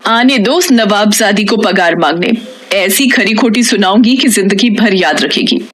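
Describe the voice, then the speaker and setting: A woman's voice: medium pitch, moderate pace, a bit bright, lightly acted. Woman acting a haughty, scornful mistress of the house. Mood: vengeful. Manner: scornful, threatening. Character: haughty rich woman employer scolding her maid.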